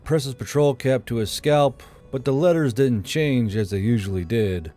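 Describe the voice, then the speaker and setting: A man reading a scripted fantasy story aloud as an audiobook narrator. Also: medium pitch. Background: soft background music.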